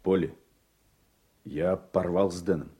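An actor playing an earnest, emotional political aide in a radio play, with a slightly husky voice. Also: male voice, low-pitched, steady tone, takes it slowly, dark tone, heavily acted. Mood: tense.

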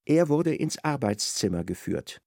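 Male narrator, literary radio drama, animated pitch swings, gravelly creak, dry close sound, expressive. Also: a little slow, no echo.

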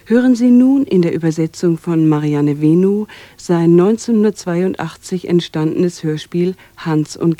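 A woman's voice: lowish voice, mellow, dry recording. A woman reading a formal scripted introduction as a radio announcer. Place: dry radio studio.

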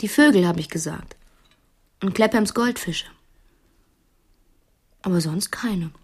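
An actress playing a strictly raised, quietly cunning wife who feigns naivety in a radio play, speaking hesitantly with pointed innocence. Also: female voice, medium pitch, slow pace, slightly rough. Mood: feigned innocence. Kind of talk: radio play acting in dialogue.

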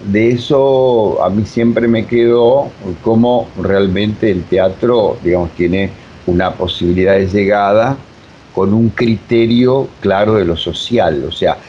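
Low-voiced man on a dull recording. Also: slow pace. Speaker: radio drama practitioner, interviewee. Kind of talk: reflective interview talk. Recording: dull lo-fi audio with faint rumble.

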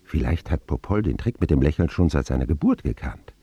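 A man's voice: extremely low pitch, expressive, brisk, mellow, breathy edge, dry recording, highs cut off, exaggerated voice. An actor playing a man wryly recounting his boyhood in vivid first-person radio drama narration. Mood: hopeful.

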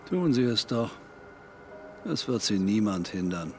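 An actor in a German radio drama playing a laconic, unmoved gravedigger who speaks in a terse, dry way. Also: male voice, on the low side, very animated, measured pace, darkish tone, a little hiss or hum.

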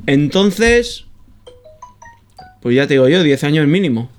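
Smooth, high-voiced man from Bilbao. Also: measured pace, soft background music. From Bilbao, Spain. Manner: relaxed storytelling, hedges with "creo". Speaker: guitarist and singer guest. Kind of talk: casual radio chat.

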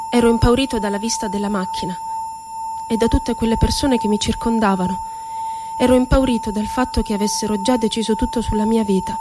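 An actress playing a bitterly ironic psychiatric inmate in a radio theatre monologue, telling her story in a deliberate, dramatic way. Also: on the high side, background noise. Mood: frightened, anguished. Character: woman psychiatric inmate recounting asylum horrors, bitterly ironic. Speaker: stage actress.

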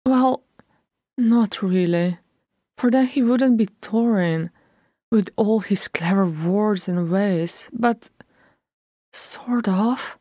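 A woman's voice: takes it slowly, pauses often, dark tone, no echo, clean studio sound. A woman voicing a blunt, impetuous dwarf woman who grumbles and complains. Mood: grumbling. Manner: higher, more emphatic voice than her narration.

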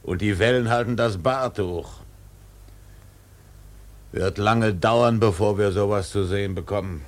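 A man playing a brooding, obsessed old whaling captain in a radio drama, with gravelly, weighty speech. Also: lowish voice, a little slow, close to an everyday voice. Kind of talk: German radio play acting.

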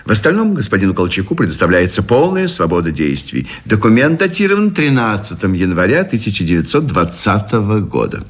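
A pompous military general in a radio drama. His voice is low and husky, and it swings widely in pitch as he declaims in formal, official style. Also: very animated, fairly quick, few pauses. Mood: official, assured. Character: official, pompous Allied general dictating terms. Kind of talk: radio play acting.

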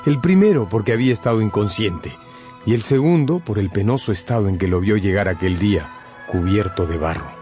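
Low-voiced man narrating a radio drama. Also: expressive, fairly quick, mellow. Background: prominent music.

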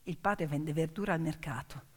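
A woman performing a theatrical narrative monologue as a stage storyteller, in deliberate, dramatic short phrases. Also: sits low, slightly rough. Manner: dramatic, deliberate short phrases, swinging from whispers to loud cries. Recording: long hall reverb, piano music behind. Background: piano music.

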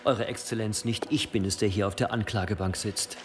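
An actor playing a scheming cathedral provost who prosecutes in a formal, insinuating manner in a historical radio drama. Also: male voice, on the low side, steady tone, a little fast, close to an everyday voice. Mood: indignant, insinuating. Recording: long hall reverb, faint noise.

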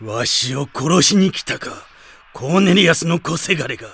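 An actor playing a hostile, haughty old general with imperious, archaic speech in a radio drama. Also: male voice, on the high side, expressive, normal speed, a bit bright, a little hiss or hum. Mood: scornful, suspicious. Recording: music behind the voice.

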